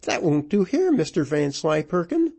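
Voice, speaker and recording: A man voicing a brash, sharp-tongued widow, mocking and indignant, in a dramatised storybook reading. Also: sits high, sing-song, normal speed, vocal fry, breathy edge, voice pushed up, exaggerated voice. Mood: rising indignation. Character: brash sharp-tongued middle-aged widow, scornful and scolding. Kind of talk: dramatised audiobook character voice.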